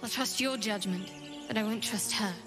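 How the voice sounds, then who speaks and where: A woman's voice: mid-range voice, moderate pace, music in the background, lightly acted. A woman playing a guarded, suspicious adventurer in a fantasy game, speaking in a wary, cutting manner. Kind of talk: cinematic fantasy game acting.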